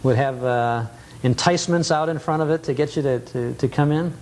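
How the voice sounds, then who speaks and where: A man's voice: fairly quick, a little mellow. A man hosting a television show, chatting casually and nostalgically with folksy exclamations. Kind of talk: casual nostalgic chat over old film. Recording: noisy rumbly 1980s cable TV audio.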